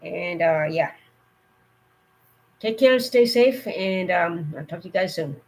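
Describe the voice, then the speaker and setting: A woman with a cold, her voice going hoarse, giving earnest safety warnings in a rambling, casual live stream. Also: fairly low pitch, expressive, slow.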